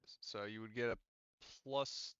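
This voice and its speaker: A male game master with a bright, clear voice talks players through the rules in a casual, halting way, thinking aloud. The sound is dry and close, though slightly dull and lo-fi. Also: lowish voice, steady tone, no echo. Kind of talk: casual tabletop game refereeing.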